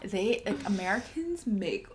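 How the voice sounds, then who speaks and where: Woman co-host on a video call, casual and playful, mixing English and Cantonese. Her pitch swings widely as she talks. She sounds lively and teasing. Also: a little slow. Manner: animated, playful, jumps between languages. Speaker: podcast co-host. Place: home in Florida.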